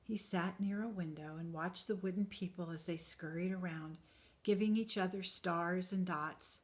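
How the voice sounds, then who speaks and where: A woman teacher reading a children's story aloud in a calm, expressive way. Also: fairly low pitch, moderate pace.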